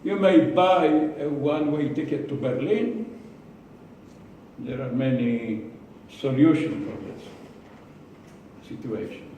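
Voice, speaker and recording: A man with an Israeli accent giving a prepared speech to a club, slow and deliberate, with pauses and repeated words. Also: mid-range voice, lively intonation, dark tone, a little hiss or hum. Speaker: club speaker. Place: meeting room. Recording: echoey room from far off.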